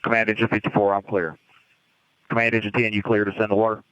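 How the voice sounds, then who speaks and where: A man's voice: lowish voice, level tone, fast, fairly bright tone. A man acting as fire incident commander, giving clipped, businesslike orders over a two-way radio. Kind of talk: terse emergency radio dispatches. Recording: dull, band-limited fireground radio traffic.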